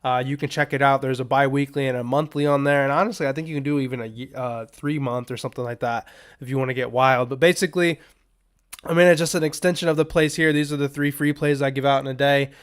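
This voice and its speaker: Casual male podcast host, slightly raspy voice. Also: mid-range voice, fairly even intonation, fast. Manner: rambling, loose, filler-heavy asides. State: under the weather, coughing. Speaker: sports betting podcast host. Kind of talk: casual unscripted betting picks talk.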